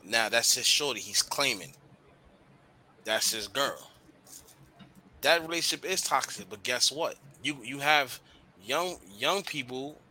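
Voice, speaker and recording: A man's voice: mid-range voice, sharp tone. A man co-hosting a podcast, chatting casually and animatedly, swearing and using slang. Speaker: podcast co-host. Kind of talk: casual chat.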